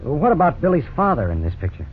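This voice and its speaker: An actor playing an interviewer who asks short, curious questions, in 1950s radio drama style. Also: male voice, on the high side, huge pitch swings, fast. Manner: curious, brief prompting questions. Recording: scratchy 1950s radio broadcast, tinny, faint hiss.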